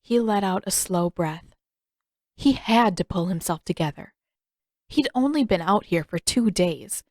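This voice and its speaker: A woman's voice: medium pitch, animated, measured pace, darkish tone, no room sound. Woman narrating fiction, close and dry. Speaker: audiobook narrator.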